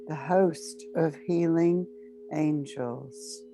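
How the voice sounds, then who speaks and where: A woman with a slightly low voice leads a guided meditation at an extremely slow pace. She speaks solemnly, like a ceremonial invocation, leaving long pauses between short phrases. Meditative music with singing bowl tones plays clearly behind her. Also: poor audio.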